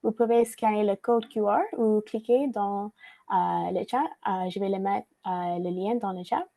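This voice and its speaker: A woman leads a virtual workshop through her computer mic. Her tone is mellow and warm. She reads from notes, often hesitating and starting phrases over. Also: medium pitch, steady tone, unhurried, creaky. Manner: frequent hesitations and restarts, reading from notes. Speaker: workshop facilitator. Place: online video call.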